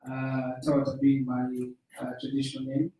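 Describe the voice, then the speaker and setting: A man with a Zambian accent moderating a session, introducing it informally and haltingly. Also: medium pitch, monotone, warm tone, faint music behind. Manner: hesitant, halting. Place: conference room. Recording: very echoey room, distant mic.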